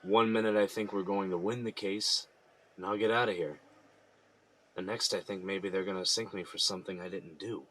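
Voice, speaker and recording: A man's voice: low voice, steady tone, moderate pace, a bit bright. A man playing an earnest, weary defendant held in jail in an audio drama, speaking reflectively. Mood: weary, uncertain.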